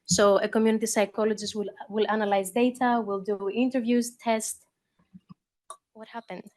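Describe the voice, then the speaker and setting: A woman's voice: mid-range voice, flat delivery, normal speed, a little mellow, no room sound. Greek-accented woman giving a talk. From Greece. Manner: conversational, with fillers and restarts. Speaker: conference speaker. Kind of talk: conference talk. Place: conference hall. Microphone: handheld or lectern mic.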